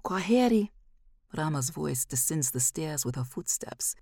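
A woman narrating a first-person literary short story in a measured, expressive way. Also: very deep voice, gravelly, breathy edge, no echo, clean studio sound.

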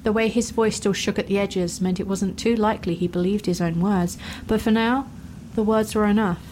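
A woman's voice: medium pitch, fairly even intonation, normal speed, darkish tone, slight background noise, low-quality recording. Woman narrating a fantasy story.

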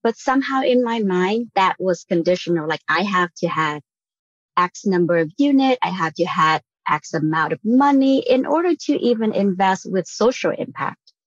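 A woman's voice: medium pitch, expressive, normal speed, no echo. A woman from Vietnam speaking as a podcast guest, earnest and reflective, often checking in with "right?" as she talks. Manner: earnest, frequent "like" and "right?" tags. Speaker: guest on a real estate podcast. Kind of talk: reflective conversational interview answer. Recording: dull lo-fi audio.

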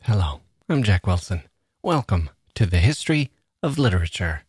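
A man's voice: deep voice, very animated, normal speed, over loud music. A man hosting a podcast, speaking to listeners in an earnest, musing way and repeating words for emphasis. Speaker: podcast host. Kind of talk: reflective monologue to listeners.

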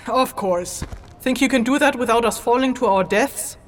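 A woman playing an earnest, cautious scholar of magic in a fantasy audio drama, explaining things in a measured way. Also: on the high side, slight background noise, close to an everyday voice. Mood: pragmatic. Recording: music behind the voice.

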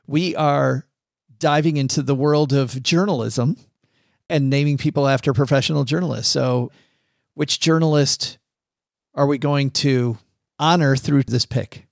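A man's voice: medium pitch, fairly even intonation, a little slow, vocal fry, no echo, clean studio sound. A man co-hosting a podcast, chatting in a playful, teasing way. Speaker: podcast co-host. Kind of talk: casual chat.

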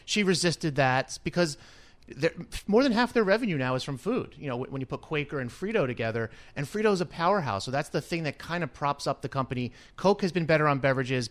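A man talks through business news at a quick clip. His pitch sits mid-range, and he explains things informally with emphatic points, like a reporter on a talk program. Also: fairly bright tone. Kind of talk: conversational business news analysis.

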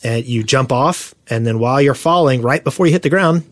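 A man's voice: medium pitch, brisk, no echo. Man chatting on a dry recording. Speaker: podcast co-host. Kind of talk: casual gaming podcast chat.

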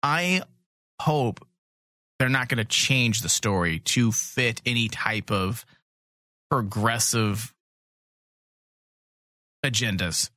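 Animated man in blunt fan chat. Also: medium pitch, slow pace, long pauses, no echo, studio quality. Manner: blunt, freely swearing. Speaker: fan podcast co-host. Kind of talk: casual opinionated fan podcast chat.

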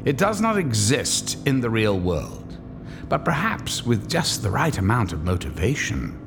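A man's voice: on the low side, wildly swooping pitch, unhurried, full character voice. A man playing an ominous horror storyteller, narrating a radio drama dramatically with reverb and music. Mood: grave. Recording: long hall reverb, music behind.

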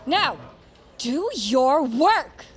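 Boastful female pack leader acted by a woman, mocking, declamatory, very animated and unhurried, noise behind her. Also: sits high, full character voice. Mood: menacing. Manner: haughty, taunting, declamatory. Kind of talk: audio drama acting.

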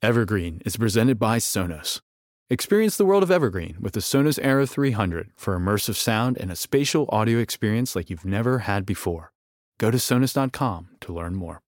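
A man reads a scripted advertisement as a sponsor announcer. His voice is fairly low, recorded dry and close with no room sound. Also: animated, fairly quick, dry recording. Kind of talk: scripted ad read.

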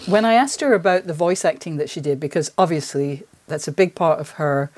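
A woman's voice: sits low, a little fast, a little mellow. A woman speaking as a podcast host, in a conversational interviewing style.

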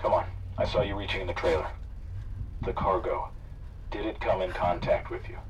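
A man's voice: low voice, steady tone, moderate pace, highs cut off, natural voice. An actor playing a menacing, coldly persuasive male pursuer who talks with insistent, condescending control in an audio drama. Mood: coldly accusing. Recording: distant, noisy vehicle radio.

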